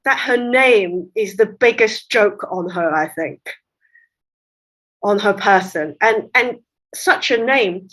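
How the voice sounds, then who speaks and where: A woman translator whose first language is Cantonese, explaining her work at length in a relaxed interview, with light laughs. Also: mid-range voice, slow. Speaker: literary translator, guest. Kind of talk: conversational interview.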